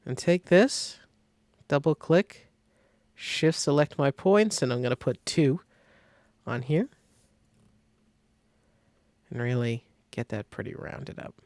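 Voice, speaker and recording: A man giving a casual step-by-step software tutorial, thinking aloud informally as he demonstrates. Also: medium pitch, animated, takes it slowly, long pauses, no room sound. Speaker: design tutorial instructor.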